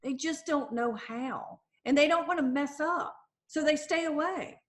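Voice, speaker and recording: A middle-aged woman author talking in a conversational interview, lively and acting out the voices of the people she quotes. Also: high voice, measured pace. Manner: animated, acts out quoted voices. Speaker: author and guest.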